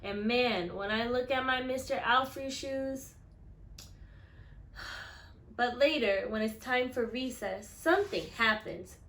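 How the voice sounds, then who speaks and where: A woman reading a children's picture book aloud expressively, acting out the dialogue and pausing to put questions to listeners. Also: fairly high pitch, a bit bright, reverberant. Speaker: read-aloud storyteller.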